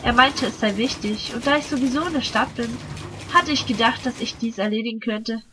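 A woman playing an anxious young lady in an audio drama, expressive and exclamatory. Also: fairly high pitch, fairly quick, noisy background, lightly acted. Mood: polite. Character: young wealthy woman, anxious would-be victim. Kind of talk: German audio drama acting.

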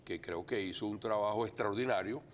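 An older man from Venezuela, a TV studio guest talking earnestly and deliberately, with many fillers. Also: on the low side, slight background noise. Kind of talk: TV studio interview.

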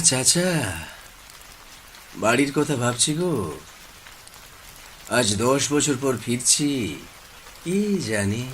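A man's voice: on the high side, a little hiss or hum, voice pushed up. A man voicing a weary, regretful villager just out of prison in a horror cartoon, sighing and speaking respectfully.

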